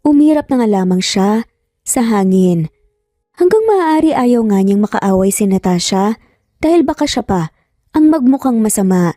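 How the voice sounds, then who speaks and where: A woman's voice: medium pitch, lively intonation, moderate pace, pauses often, dark tone, no echo, studio quality. A woman reading a scripted romantic drama as a storyteller. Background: music.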